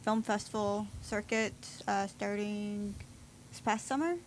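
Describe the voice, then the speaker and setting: A woman being interviewed as a film maker, chatting casually and pausing to think as she goes. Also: medium pitch, steady tone. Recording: clear room noise behind.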